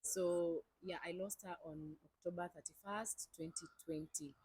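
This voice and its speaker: A woman appearing as a guest on a channel, speaking in a calm, measured way. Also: on the low side, breathy edge, no echo, poor audio. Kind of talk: casual chat.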